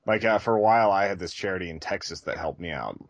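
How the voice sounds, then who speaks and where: Low-voiced man chatting casually on a podcast. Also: fast talker, no room sound. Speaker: podcast co-host.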